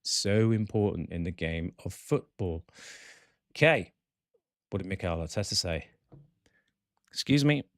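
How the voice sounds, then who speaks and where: A man hosting a football fan show, reading out match stats and player ratings with chatty asides in a croaky voice. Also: low voice, expressive, unhurried, pauses often, a bit bright, no echo, clean studio sound. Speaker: football podcast host. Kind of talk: casual fan-show commentary.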